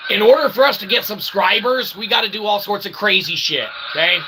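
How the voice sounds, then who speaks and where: A man's voice: sits high, fairly quick, noisy background. A man hosting his own channel, delivering a rambling philosophical monologue in wordy, mock-formal, archaic phrasing and constantly checking in with "okay?". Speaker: host of own channel, independent author and developer.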